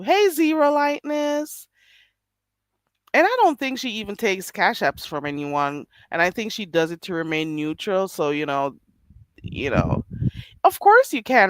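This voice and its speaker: A woman's voice: lowish voice, a bit bright, dry recording. A woman live streaming late at night, chatting casually with her viewers and reading their comments aloud. She is a little stuffy and nasal, laughs often and keeps calling people "child".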